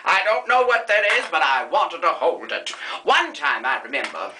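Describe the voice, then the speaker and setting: An aging screen diva, vain, flighty and bawdy, who rambles through a comedy makeup lesson, as a performer puts her on. Her voice is piercingly bright and swoops wildly up and down as she drops names in a grand, theatrical manner. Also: medium pitch, very animated, moderate pace, echoey room, a little hiss or hum. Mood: campy. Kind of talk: comedy sketch, makeup tutorial parody.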